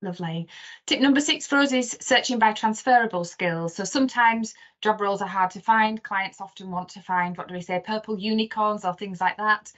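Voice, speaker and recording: A woman's voice: mid-range voice, a little fast, fairly bright tone. A woman co-presenting a webinar, giving tips in an informal way. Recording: dull video call audio.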